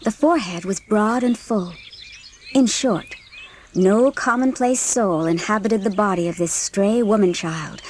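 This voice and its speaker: A woman's voice: medium pitch, a little slow, darkish tone, a little hiss or hum. A woman narrating a dramatised audiobook from a script, telling the story in literary prose.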